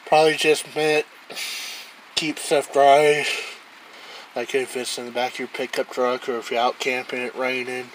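A man's voice: mid-range voice, level tone, moderate pace, long pauses, slight background noise, poor audio. Plainspoken man reviewing gear outdoors. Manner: rambling, plainspoken, thinks aloud. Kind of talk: casual product review. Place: outdoors on a wooden deck.

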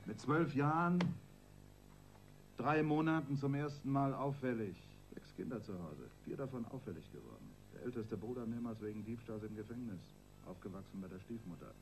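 A man's voice: medium pitch, expressive, normal speed, a little hiss or hum, lightly acted. A man playing a domineering senior police detective in a radio crime drama, mocking, condescending and emphatic. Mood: lecturing, insistent.

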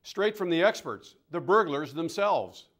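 A man narrating a local news segment in an even, measured reporting style. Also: fairly high pitch, no echo, studio quality.

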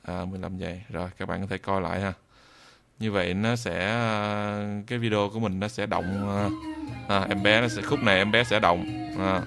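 Low, gravelly man. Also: fairly even intonation, a bit bright, faint music behind. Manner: hesitant, halting, repeats phrases. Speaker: video tutorial presenter. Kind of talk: unscripted screen-recorded software tutorial.

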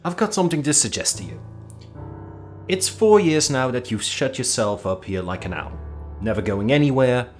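A man's voice: medium pitch, expressive, moderate pace, faint music behind, pitched above the performer's own voice, lightly acted. A man reading a story aloud, voicing a brash, hearty young male army officer who teases his cousin. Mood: hectoring. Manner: hearty, teasing, breezy.

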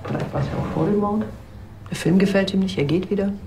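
An actress playing a cool, sarcastic police boss in a screen drama, brisk and ironic. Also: female voice, mid-range voice, a little mellow, a little hiss or hum, natural voice. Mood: dry, sceptical.

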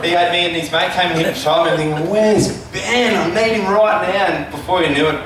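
A man's voice: high voice, quick pace. A young man giving a joking, offhand best man speech from notes into a handheld mic at a wedding. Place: large hall at a wedding reception. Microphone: handheld mic through speakers. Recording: echoey hall with crowd noise, from far off. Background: laughing guests.

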